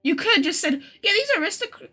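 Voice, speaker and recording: Animated, high-voiced woman streamer. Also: brisk. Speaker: livestreamer. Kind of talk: casual game stream commentary. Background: faint game music.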